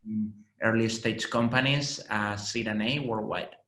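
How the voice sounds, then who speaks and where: A man in his late thirties with a Spanish accent, explaining his work as a venture investor in a measured way, with frequent fillers, on a video call panel. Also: on the low side, steady tone, a bit bright. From Spain. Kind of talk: panel conversation. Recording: roomy echo.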